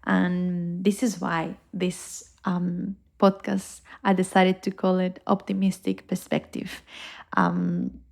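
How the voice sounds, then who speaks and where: Young Colombian woman hosting a podcast; low, gravelly voice, upbeat and reflective personal talk. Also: completely flat delivery, takes it slowly. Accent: Colombian Spanish. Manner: warm, reflective, upbeat with filler words. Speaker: podcast host. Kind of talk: casual personal podcast monologue.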